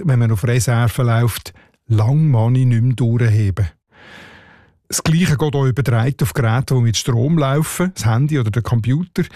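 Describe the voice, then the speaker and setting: A man with a Swiss German accent walks through old sayings in a calm, explanatory tone. His voice sits at a middle pitch. The studio sound is close and dry. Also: dry recording. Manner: explanatory, measured storytelling. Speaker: radio dialect reporter. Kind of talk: scripted radio feature. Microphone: studio microphone.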